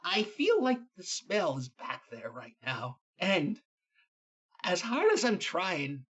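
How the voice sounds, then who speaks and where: A man playing a nervous, earnest small-town radio guest in an improvised comedy podcast, halting and bashful in manner. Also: sits high, wildly swooping pitch, fairly bright tone, slightly rough, exaggerated voice. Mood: bashful, hesitant.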